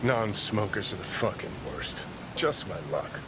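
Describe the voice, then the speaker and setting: A man's voice: on the low side, unhurried, natural voice. An actor voicing a cynical, sarcastic, foul-mouthed man in a video game, with noise behind the voice. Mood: irritated, scornful. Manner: brash, sardonic.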